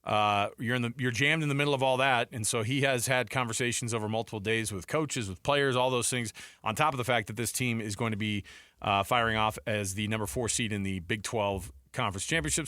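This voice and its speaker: A man's voice: medium pitch, sharp tone, no room sound. A man hosting a casual sports talk show from a studio, speaking in an emphatic, exclamatory, conversational way. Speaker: sports radio host. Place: radio studio. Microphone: close studio microphone.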